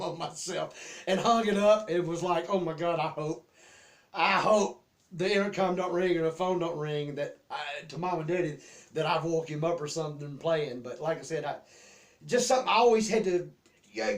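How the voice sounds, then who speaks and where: A man's voice: high voice, moderate pace. A middle-aged man with a Southern US accent casually tells personal stories in a rambling, folksy way, often repeating his words. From Memphis, Tennessee area. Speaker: host telling childhood memories.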